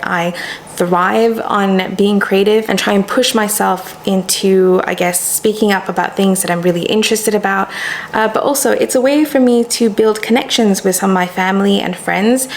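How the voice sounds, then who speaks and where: A woman's voice: mid-range voice, creaky, a little hiss or hum. A young woman from Malaysia whose first language is Malay, talking in an earnest, reflective personal vlog as a YouTuber. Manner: earnest, thoughtful, with soft fillers.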